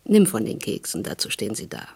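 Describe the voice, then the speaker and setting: An actress playing a motherly, resolute middle-aged woman, earnest and measured, in a German radio play. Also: rumbling low, huge pitch swings, gravelly, mellow, breathy edge, exaggerated voice. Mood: wistful. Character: motherly, resolute childless older neighbour woman, former prisoner.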